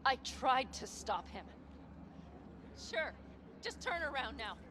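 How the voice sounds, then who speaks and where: An actress voicing a proud, stubborn young nomad woman in a video game, sharp-tongued. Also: high-pitched, sing-song, takes it slowly, noisy background, heavily acted. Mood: bitter. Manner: sharp, bitter.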